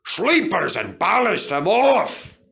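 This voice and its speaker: A man voicing a gruff, boastful male engine who talks bluntly and loudly. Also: sits high, sing-song, takes it slowly, voice pushed up, full character voice. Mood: blustering. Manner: blunt, loud and swaggering.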